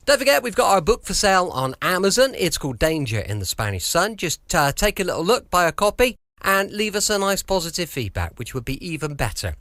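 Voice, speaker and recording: A man's voice: high-pitched, big pitch swings, brisk, hardly any pauses, fairly bright tone, no room sound, studio quality. A British man hosting a podcast, chatting casually in a folksy, rambling way that repeats little phrases. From United Kingdom. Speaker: podcast host.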